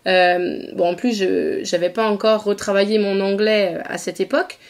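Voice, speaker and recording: A woman's voice: medium pitch, fairly even intonation, normal speed, darkish tone. A woman from northeast France hosting a podcast interview, chatting in an easygoing way and often murmuring agreement. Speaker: podcast host. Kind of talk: casual conversational interview.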